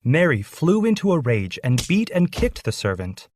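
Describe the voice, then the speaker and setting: A man's voice: on the high side, sing-song, moderate pace, a little mellow, a little hiss or hum. A man reading a scripted children's story as its narrator.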